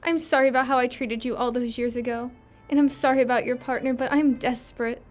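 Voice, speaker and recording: A woman's voice: sits high, fairly even intonation, a little fast, faint music behind, natural voice. A woman playing a pleading 1940s femme fatale in a noir radio drama.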